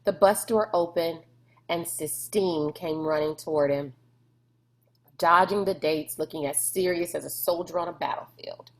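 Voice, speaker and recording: A woman's voice: on the low side, a little slow. A woman reading a storybook aloud as narrator. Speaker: read-aloud reader.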